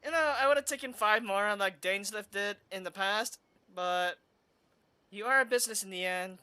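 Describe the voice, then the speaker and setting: Bright-voiced woman streaming a game. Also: medium pitch, normal speed, clear, resonant tone. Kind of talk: casual live commentary on a game.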